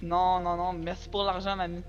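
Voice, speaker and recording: Man as a high-pitched, breezy unrepentant grandson. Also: completely flat delivery, normal speed, clean tone, highs cut off, faint music behind, close to an everyday voice. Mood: offhand, amused. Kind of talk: improvised online role-play in a video game.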